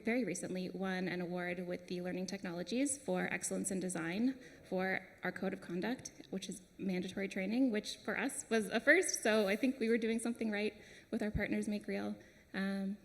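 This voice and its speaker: Woman with a gravelly voice in a hall. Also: medium pitch. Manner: casual, filler-heavy. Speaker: panel speaker. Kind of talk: conference talk. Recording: reverberant conference hall.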